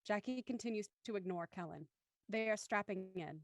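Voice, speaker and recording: A woman's voice: medium pitch, normal speed, dry recording, clean studio sound. A woman reading scripted stage directions as the narrator of a drama.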